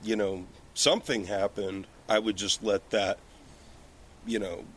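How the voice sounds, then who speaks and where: A man's voice: lowish voice, little pitch movement, measured pace. A man talking candidly and a little ramblingly as a podcast guest on a porch, with casual swearing. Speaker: guest, independent filmmaker and business owner. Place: outdoors on a porch. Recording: background ambience outdoors on a porch.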